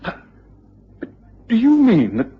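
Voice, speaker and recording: A man's voice: extremely high pitch, wildly swooping pitch, drawn-out words, husky, exaggerated voice. Man acting formal, worried tutor, slightly muffled. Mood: puzzled, anxious. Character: worried, helpful, formal male college tutor. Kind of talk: radio drama acting. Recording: muffled radio recording with faint hiss.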